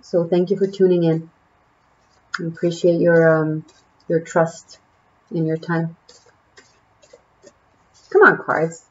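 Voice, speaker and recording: Casual tarot reader, a woman with an Israeli accent and a slightly low voice, rambling through fillers on a muffled home recording. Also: little pitch movement, takes it slowly, long pauses. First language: Hebrew. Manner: chatty and musing, trailing off with fillers. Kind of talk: casual tarot reading to camera.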